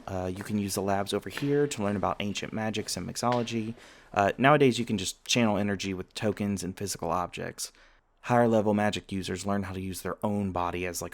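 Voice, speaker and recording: Man acting a low, creaky-voiced friendly vampire student. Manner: casual, conversational, gently joking. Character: friendly, teasing male vampire university student and tour guide. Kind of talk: ASMR roleplay acting, casual chat to listener.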